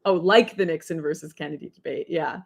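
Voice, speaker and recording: A young woman with a deep voice, hosting a casual livestream and reading out chat messages. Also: a little fast, gravelly, breathy edge. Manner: chatty, rambling, laughs often.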